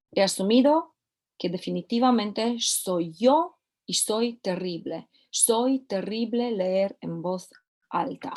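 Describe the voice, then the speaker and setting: A woman's voice: mid-range voice, a little mellow. A woman teaching a recorded course lesson, often repeating key phrases for emphasis. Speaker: course instructor.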